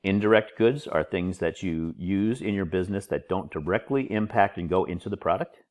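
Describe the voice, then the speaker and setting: Deep-voiced guy teaching online, a bit nasal from a cold, casual and chatty. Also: moderate pace, a little mellow. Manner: informal, chatty, joking asides. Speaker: college instructor. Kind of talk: recorded online lecture.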